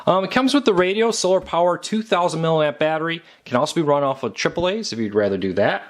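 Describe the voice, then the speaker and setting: Higher-voiced American man reviewing casually. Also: animated, quick pace. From United States. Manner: conversational, matter-of-fact. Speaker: product reviewer. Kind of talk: casual unscripted product review.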